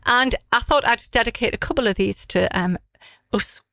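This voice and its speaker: A middle-aged woman, croaky and husky from a cold, chatting casually and teasingly as a radio host. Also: a little high, normal speed, pushed and bright, no echo, clean studio sound. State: has a cold. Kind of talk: casual chat.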